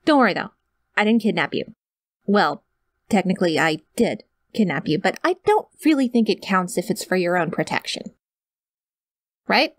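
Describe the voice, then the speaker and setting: A woman's voice: lowish voice, expressive, moderate pace, long pauses, dry recording, studio quality. A young woman playing a sweet, awkward, obsessive kidnapper who feigns innocence in a chatty, rambling ASMR roleplay told straight to the listener. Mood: sweetly reassuring. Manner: chatty, rambling, flustered self-denials, hesitant filler words. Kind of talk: ASMR roleplay, one-sided comedic monologue to the listener. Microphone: close studio mic, dry.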